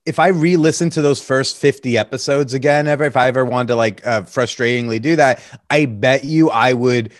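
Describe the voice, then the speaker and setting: A man's voice: mid-range voice, a little fast, hardly any pauses, no room sound. Brief: thirty-two-year-old male guest, mid-range voice, close dry mic, candid and wry. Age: 30s. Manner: candid, joking, reflective. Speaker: podcast guest. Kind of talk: casual interview chat.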